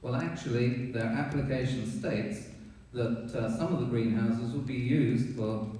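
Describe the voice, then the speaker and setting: Man, public commenter, accusatory and halting, mellow timbre, booming hall echo, distant mic. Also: fairly even intonation. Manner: pointed, accusatory, halting with fillers. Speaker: resident giving public comment. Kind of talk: public comment at a town board hearing. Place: town meeting hall. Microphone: room mic from a distance. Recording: echoey hall, distant mic, faint hiss.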